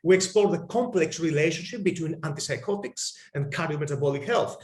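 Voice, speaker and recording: A man's voice: on the high side, brisk. A man with a Greek accent giving witty, joking closing remarks as co-chair of an online conference. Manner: witty, playful, joking asides. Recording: roomy echoey video-call audio.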